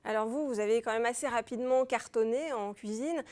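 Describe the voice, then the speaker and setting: A woman's voice: a little high, a little fast, a little mellow. A woman hosting a TV interview, prompting her guest and finishing his sentences. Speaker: TV host.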